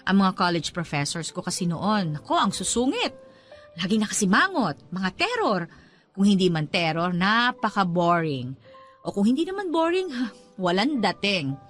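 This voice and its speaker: A woman playing a warm, encouraging school owner in a radio drama, speaking in an affectionate, effusive, teacherly way. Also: medium pitch, big pitch swings, moderate pace, soft background music. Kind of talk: radio drama dialogue in Taglish.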